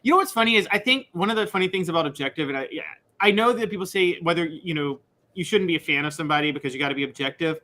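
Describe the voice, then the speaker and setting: A man in his thirties co-hosting a sports talk show, earnest and quick to laugh. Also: fairly high pitch, sharp tone. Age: 30s. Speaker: co-host.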